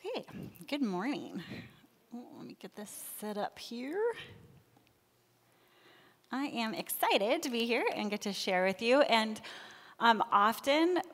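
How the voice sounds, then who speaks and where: A young woman with a soft voice who speeds up when excited, giving a sermon in a church sanctuary. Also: fairly high pitch. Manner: soft-spoken, talks fast when excited. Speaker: lay preacher. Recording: long reverb of a church hall.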